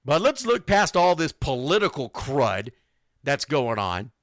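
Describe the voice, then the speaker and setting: Male sports talk host, blunt and emphatic, slightly high-pitched with a sharp, forceful edge. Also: sing-song, measured pace, no room sound, clean studio sound. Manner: blunt, emphatic, repeats phrases for stress. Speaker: youth football radio show host. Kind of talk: informal sports talk monologue.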